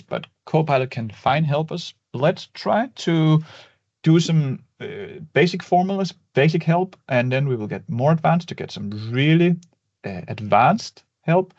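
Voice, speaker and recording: A man narrates a screen-recorded spreadsheet lesson in a relaxed, step-by-step way, often pausing on fillers. His voice sits at an ordinary middle pitch. The recording is dry with no room sound, and a little dull in tone. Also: dry recording. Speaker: Excel tutorial instructor. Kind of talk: screen-recorded software tutorial. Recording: dry, slightly dull voice-over.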